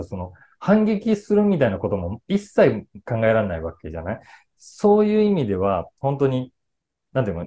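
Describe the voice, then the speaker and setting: A middle-aged man chatting casually about films to an online audience, explaining things conversationally and often pausing to search for words. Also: medium pitch, warm tone. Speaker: voice actor and actor talking to his fan club. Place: small indoor room with a patterned wall, video call.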